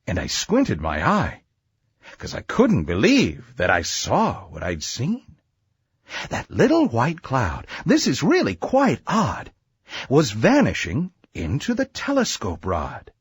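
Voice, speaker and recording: Husky, highly animated male storyteller. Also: no room sound, clean studio sound. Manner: theatrical, sing-song verse delivery. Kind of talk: rhyming children's story narration.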